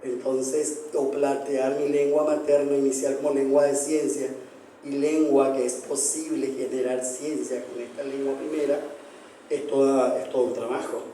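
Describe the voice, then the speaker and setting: Flat-toned man, academic. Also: mid-range voice, slight background noise. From Paraguay. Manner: reflective, academic, with filler pauses. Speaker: anthropologist panelist. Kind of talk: panel discussion remarks. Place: large hall, book presentation. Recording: echoey hall from far off.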